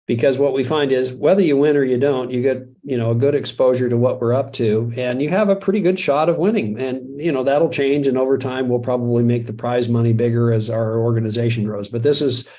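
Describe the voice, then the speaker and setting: A man's voice: medium pitch, fairly even intonation, fairly quick, hardly any pauses, dark tone. A middle-aged American man hosting a live webinar in a casual, chatty way, joking at his own expense and chuckling often. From United States. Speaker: webinar host.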